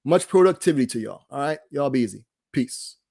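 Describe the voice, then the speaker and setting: A man's voice: medium pitch, animated, fairly quick, creaky, very noisy. A man hosting an online study group, casually explaining website features in an informal, laid-back, conversational way.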